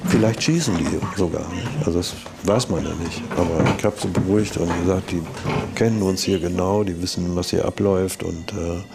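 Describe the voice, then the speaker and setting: A man's voice: low-pitched, brisk, gravelly. A man telling anecdotes casually as an interviewee. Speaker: interviewee recalling the past.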